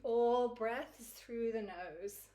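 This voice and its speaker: A woman with a croaky, recovering voice teaching a Kundalini yoga routine in a chatty, encouraging way. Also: fairly high pitch, very slow, warm tone, low-quality recording. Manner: chatty and encouraging, laughs easily. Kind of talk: guided yoga class instruction.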